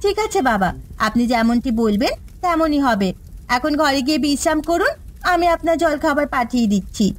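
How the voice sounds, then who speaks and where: A woman playing a put-upon, exasperated daughter-in-law and housewife in a Bengali cartoon, speaking in a complaining, animated manner. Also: sits high, fairly quick. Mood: dutiful, placating. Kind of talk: Bengali moral-story cartoon dubbing. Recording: faint music behind the voice.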